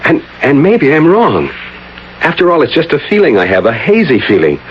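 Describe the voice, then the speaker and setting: A troubled professor in an old radio mystery. His pitch moves widely as he halts, repeats himself and turns defensive. The broadcast sounds dull, with faint noise. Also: huge pitch swings. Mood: haunted, unsure. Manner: hesitant, halting, repeats words, defensive. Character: troubled, evasive professor, anxious and unsure of himself. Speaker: actor in a radio mystery play. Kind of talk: vintage radio drama acting.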